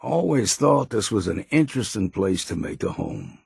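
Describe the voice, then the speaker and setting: Game role: grizzled old male sea hunter, heavy fry, husky, blunt and stubborn. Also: lowish voice, very animated, normal speed, a little mellow, dry recording, clean studio sound, exaggerated voice. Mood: gruff. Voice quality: gravelly, rasping. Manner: folksy, blunt, weathered. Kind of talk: video game character acting.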